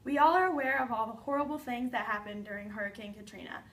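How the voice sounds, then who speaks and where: A woman's voice: on the high side, fast talker, a bit bright, close to an everyday voice. A woman playing a TV news anchor, reading a scripted introduction in an amateur mock newscast. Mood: presenting. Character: female TV news anchor in a newsroom. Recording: distant mic with faint noise.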